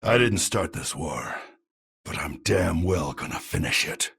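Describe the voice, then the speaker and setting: Gravelly, grizzled old soldier. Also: male voice, very deep voice, expressive, measured pace, a bit bright, exaggerated voice. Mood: gruff, defiant. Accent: American. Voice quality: gravelly, raspy. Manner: terse, hard-bitten. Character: grizzled, gruff older soldier vigilante, weary and stern. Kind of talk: video game character voice acting.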